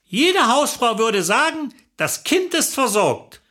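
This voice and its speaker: A woman's voice: fairly high pitch, big pitch swings, takes it slowly, a bit bright. An older woman telling a comic story in a chatty, gossipy way, chuckling as she goes. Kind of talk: comic monologue.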